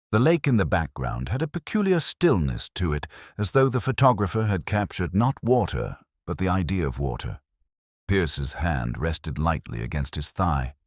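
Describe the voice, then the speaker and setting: Very deep-voiced man narrating a mystery. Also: no echo, studio quality. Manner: measured, reflective, literary delivery. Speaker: narrator of a detective story. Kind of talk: first-person scripted mystery narration, literary audio drama.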